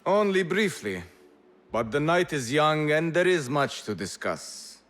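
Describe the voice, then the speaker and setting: A man's voice: a little high, sing-song, measured pace, a bit bright. A man playing a proud, stubborn rebel in a video game, speaking in a grandiloquent, wry and theatrical way. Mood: grand, pleased. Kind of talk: video game cutscene dialogue.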